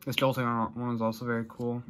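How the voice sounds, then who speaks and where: A man's voice: medium pitch, flat delivery, fairly quick, fairly bright tone. Young American man chatting, noisy recording. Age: young adult. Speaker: vlogger showing a collection haul. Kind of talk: casual chat to camera. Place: small bedroom, indoors.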